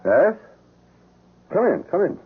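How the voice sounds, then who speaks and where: A man playing a guarded, courteous gentleman accomplice in a radio drama, with formal theatrical diction and a husky voice. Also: high voice, big pitch swings, slow pace, heavily acted. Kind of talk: 1970s radio drama acting. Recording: tinny old radio recording.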